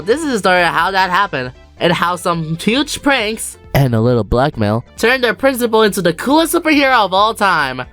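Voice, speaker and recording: Animated woman storybook narrator. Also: medium pitch. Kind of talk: storybook narration for a fan dub.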